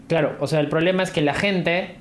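Male podcast host, Peruvian accent, animated and slangy, slightly high register, background noise. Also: a little fast. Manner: animated, rambling, slangy, acts out quotes. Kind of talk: casual podcast chat.

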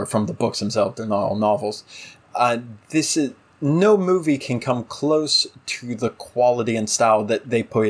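A man co-hosting a podcast, casually discussing television with opinionated, emphatic delivery. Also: medium pitch. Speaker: podcast co-host.

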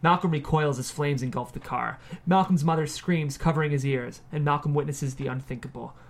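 A man with a somewhat high voice narrates a screenplay at a table read. He reads scene headings and stage directions as scripted narration. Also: steady tone, fairly quick.

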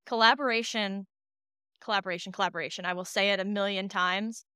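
A woman with a strikingly clean, bright voice talks casually as a podcast producer being interviewed. She tells things in an animated way, voicing imagined exchanges. The sound is dry, with no room echo. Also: medium pitch, a little fast, smooth. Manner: animated storytelling, acts out imagined dialogue.

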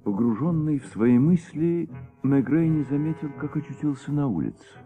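An actor narrating a detective radio play in a measured, suspenseful, dramatic way on an old recording. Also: male voice, fairly high pitch, expressive, mellow, faint music behind. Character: thoughtful male storyteller of a detective tale.

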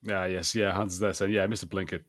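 A man co-hosting a podcast in casual watch-party chat, talkative and quick to laugh. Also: low voice, level tone, no room sound, clean studio sound. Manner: chatty, laughs often. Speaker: podcast co-host.

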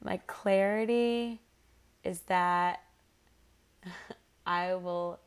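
A young woman co-hosts a podcast with a fairly low voice. It stays clear, though slightly worn from recently losing it. She talks casually and informally, rambling through her stories. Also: fairly even intonation, extremely slow. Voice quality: slightly raspy from lost voice. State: voice worn from shouting and singing. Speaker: podcast co-host. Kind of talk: casual chatty podcast conversation.

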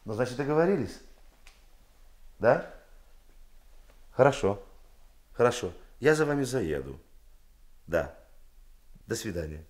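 An actor playing a seasoned, kindly police detective chief in a radio play, halting and a little shy. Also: male voice, mid-range voice, sing-song. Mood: pleased.

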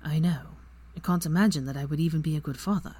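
Woman reading as a quiet brooding young wizard, low, hushed, husky. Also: brisk. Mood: tender. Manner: quiet, hushed, near whisper. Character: soft-spoken young wizard, tender and brooding.

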